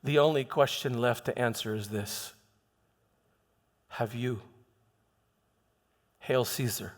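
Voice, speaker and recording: A man playing an aging, haunted Roman governor, delivering a reflective, dignified dramatic monologue in measured, halting phrases. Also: mid-range voice, natural voice. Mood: solemn, searching. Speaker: performer in a church dramatization. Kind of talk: dramatic first-person monologue. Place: large church hall. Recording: long hall reverb.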